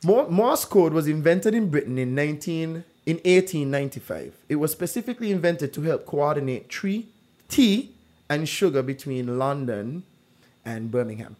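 A man's voice: on the high side, normal speed. A man hosting a casual true-or-false quiz, telling stories with lots of "like" fillers. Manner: animated storyteller, many "like" fillers. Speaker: quiz host.

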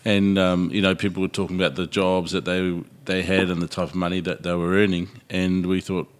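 An older man from New Zealand chats on a podcast with a very low voice. He talks hesitantly, full of fillers and restarts, and sometimes teases the others. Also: level tone, brisk. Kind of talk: casual podcast chat.

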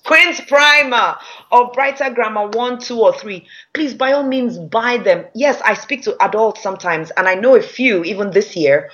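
A woman with a Nigerian accent, a public speaking trainer teaching a masterclass in an emphatic, lively way. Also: on the high side, normal speed, muffled recording.

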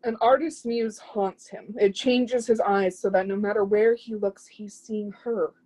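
A woman's voice: medium pitch, steady tone, unhurried, mellow, natural voice, low-quality recording. A woman playing a proud, zealous would-be artist's muse in a play reading, speaking with lofty, scornful emphasis. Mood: fervent.

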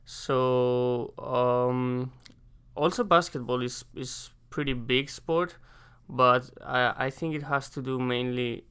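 A young man from Spain with a Spanish accent, an exchange student answering interview questions casually and haltingly, pausing to search for English words. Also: medium pitch, fairly even intonation, a bit bright, clean tone. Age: young adult.

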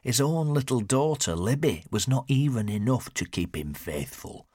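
A man's voice: sits low, moderate pace, breathy edge, no echo, studio quality. A man voicing an older man in an audiobook, stern but kindly and reflective. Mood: stern, consoling. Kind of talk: audiobook character dialogue.

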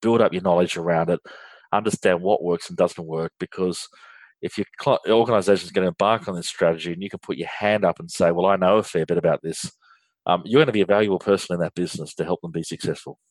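A man's voice: deep voice, steady tone, quick pace, no room sound, poor audio. An Australian man, a guest in a business interview, explaining with frequent ums and restarts. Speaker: guest, finance outsourcing firm leader.

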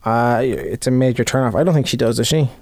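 A man's voice: mid-range voice, a little fast, a little mellow, no echo, studio quality. A young man co-hosting a podcast, joking around in easygoing casual chat. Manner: easygoing, jokey banter. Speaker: podcast co-host.

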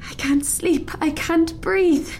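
A woman's voice: very high-pitched, slow pace, a little mellow, a little hiss or hum, full character voice. A woman playing a distraught, sleepless teenage girl held in a prison cell, in a naturalistic radio drama. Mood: anguished despair. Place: small dark drying cupboard in an art centre.

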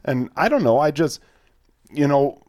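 A man's voice: on the high side, very animated, a little slow, a little mellow, no room sound, studio quality. A man with an American Midwestern accent chatting casually and informally as co-host of a fishing podcast. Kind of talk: casual chat.

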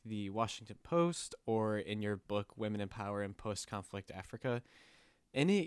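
A man's voice: on the low side, clear, resonant tone, no echo, studio quality. A man hosting an interview, asking long, rambling questions with hesitations. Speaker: interviewer host.

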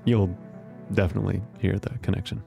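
A man's voice: low-pitched, flat delivery, brisk, creaky, mellow, faint music behind. An American man hosting a radio show in a scripted narration style.

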